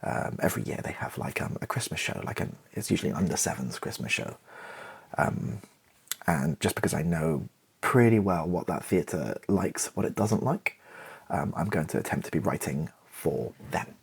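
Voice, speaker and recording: A man chatting in a relaxed ASMR style, rambling and self-deprecating with a wry touch, his voice husky and creaky. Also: sits low, normal speed. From Britain. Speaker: ASMR YouTuber and playwright. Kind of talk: relaxed ASMR-style casual chat to camera.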